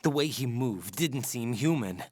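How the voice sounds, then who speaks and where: A man's voice: mid-range voice, animated, measured pace, a little mellow, dry recording, studio quality. A man playing an earnest, questioning young rookie operative in a video game cutscene. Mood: puzzled, intent.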